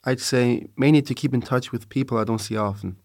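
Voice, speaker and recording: A man in his early twenties, a student co-host, sharing his own experiences in a steady, reflective way on a podcast. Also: medium pitch, fairly quick, gravelly, dry recording, clean studio sound. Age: 20s. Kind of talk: casual podcast discussion.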